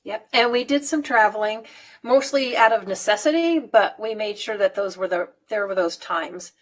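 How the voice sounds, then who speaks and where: A woman's voice: mid-range voice, animated, normal speed. American woman in her 50s, rambly chat, laughs a lot, mid voice. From United States. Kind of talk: casual chat.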